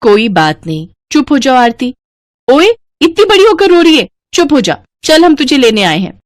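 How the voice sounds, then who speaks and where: A woman's voice: high voice, sing-song, normal speed, no room sound, clean studio sound, voice pushed up. A woman voicing a chatty, bossy elder sister-in-law in a cartoon family story, with a breezy, familiar manner. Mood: consoling. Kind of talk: Hindi cartoon story dialogue.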